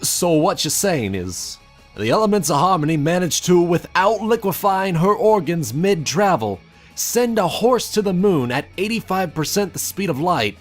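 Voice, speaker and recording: A man's voice: sits high, big pitch swings, normal speed. A man voicing a wisecracking, show-off pony sidekick with a casual, joking manner in scripted educational comedy. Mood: incredulous. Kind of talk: scripted comic educational dialogue. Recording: music behind the voice.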